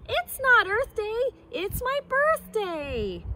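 A woman's voice: very high voice, huge pitch swings, slow pace, sharp tone, pitched above the performer's own voice, exaggerated voice. A woman putting on a squealing silly boy monkey. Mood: boastful. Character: silly boastful young male monkey. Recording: noisy recording.

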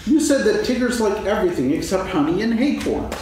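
A gentle, earnest young bear, as a man reads him, somewhat high, with a lively, sing-song pitch. It is heard from a distance in a very echoey hall with faint noise. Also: brisk. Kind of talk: staged reading of a children's play. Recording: echoey stage, distant mic, background noise.

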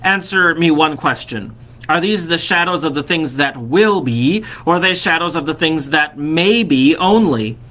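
A man reading aloud, voicing an old, remorseful miser who pleads urgently, his voice pitched up. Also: normal speed, bright, forceful tone. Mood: questioning.

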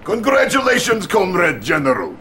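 An actor playing a gleeful, pompous middle-aged Soviet premier with a Russian accent, theatrical and playful in a game cutscene. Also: male voice, sits high, wildly swooping pitch, normal speed, pushed and bright, slight background noise, exaggerated voice. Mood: pompous, commanding. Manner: theatrical, playful, with dramatic swells. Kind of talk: video game cutscene acting.

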